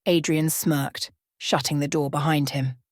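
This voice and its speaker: A woman storyteller with a fairly low voice reads scripted fiction for bedtime ASMR. The recording is dry, with very little room around her words. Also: fairly even intonation, a little slow, dry recording.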